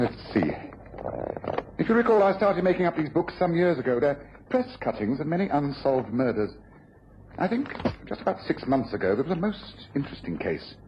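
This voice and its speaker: An actor playing a courteous, astute British gentleman detective in an old radio drama, precise and given to musing aloud. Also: mid-range voice, close to an everyday voice. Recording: muffled vintage radio recording with hiss.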